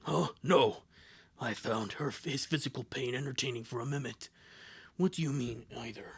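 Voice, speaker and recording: A man voicing a gloomy, deadpan young goth sister in a fan cartoon dub, with a gravelly, husky voice and measured delivery. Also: medium pitch, pitched below the performer's own voice. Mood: subdued.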